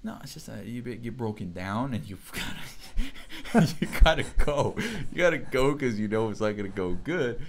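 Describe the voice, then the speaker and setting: A man's voice: mid-range voice, very animated, normal speed, a little hiss or hum. A young man hosting a casual livestream chat, talking in a laid-back, rambling way and laughing often. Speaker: show host.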